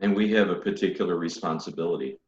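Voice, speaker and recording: A low-voiced man in his fifties, a priest, talks earnestly from his book-lined study on a video call. His webcam audio sounds dull and echoes around the room. He restarts his sentences often as he thinks aloud. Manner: earnest, measured, many restarts. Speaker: priest guest. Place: home study with bookshelves.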